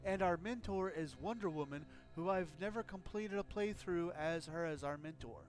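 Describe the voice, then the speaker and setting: A man's voice: high voice, normal speed, soft background music. A man live-streaming a game, talking casually with a scratchy throat and rambling explanations full of fillers.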